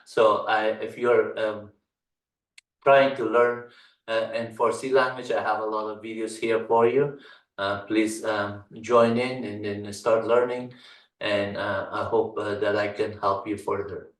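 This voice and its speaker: Guy from afghanistan, dari his first language, walking through a tech tutorial, lots of uh and um, pretty flat, kinda echoey. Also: on the low side. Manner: halting, many fillers, lists items slowly. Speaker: channel host and tech instructor. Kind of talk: casual screen-recorded tutorial talk. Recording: roomy echo, mic at a distance.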